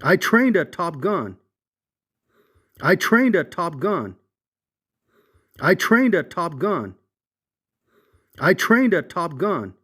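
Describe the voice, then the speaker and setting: A man delivering short, isolated catchphrases in a deliberate way, as sound effect clips. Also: sits high, sing-song, fairly bright tone, breathy edge.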